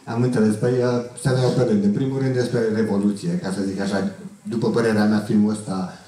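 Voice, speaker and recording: A middle-aged man gives an informal, halting answer on a stage panel, often repeating words. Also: lowish voice, steady tone, warm tone. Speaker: film crew member on panel. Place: auditorium stage, indoors. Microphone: handheld microphone held low. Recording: very echoey hall, distant.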